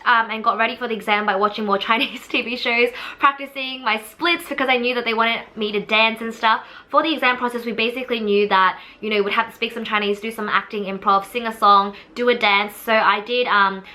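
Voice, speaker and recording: A woman's voice: a little high, fairly even intonation, fairly quick, hardly any pauses, bright, forceful tone. A young woman, casually telling the story of her audition to camera in a chatty, candid way, with many fillers. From Australia.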